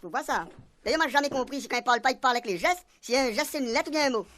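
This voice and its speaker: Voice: high voice, animated, racing, fairly bright tone. An actor in a comic radio serial playing a simple, chatty police sergeant who loves silly jokes, speaking French with a Réunion Creole accent in rambling, repetitive folksy phrases.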